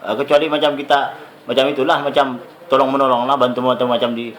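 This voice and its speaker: A man's voice: mid-range voice, flat delivery, quick pace, a bit bright, a little hiss or hum. A man from Sabah speaking with a Sabah Malay accent, giving a rambling, casual talk to his channel viewers. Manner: chatty, rambling, with many fillers. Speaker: YouTuber.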